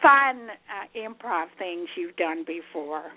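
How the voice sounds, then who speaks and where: An older woman hosting a phone interview, reflective and halting, speaking of energy. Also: fairly low pitch, lively intonation. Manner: reflective, talks of energy, slow halting phrasing. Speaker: host. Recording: narrow telephone line.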